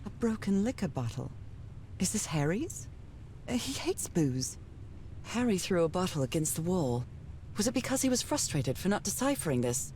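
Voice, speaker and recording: A low-pitched woman explorer muses to herself in a video game. She is thoughtful and troubled, questioning what she finds, with a faint rumble behind her. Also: big pitch swings, normal speed, darkish tone, poor audio. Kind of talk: video game voice acting, reflective monologue.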